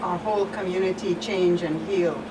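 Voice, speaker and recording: A woman's voice: fairly low pitch, steady tone, normal speed. A woman from the US Virgin Islands speaking as a guest psychologist in an interview. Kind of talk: interview conversation. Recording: distant, noisy background.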